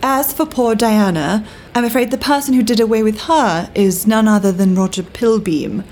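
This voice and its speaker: A woman's voice: mid-range voice, normal speed, a little mellow, a little hiss or hum, natural voice. An actress with a British accent playing a cool, worldly 1930s government agent woman in an audio drama, genteel, dry and arch. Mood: coolly detached. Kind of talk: full-cast audio drama acting.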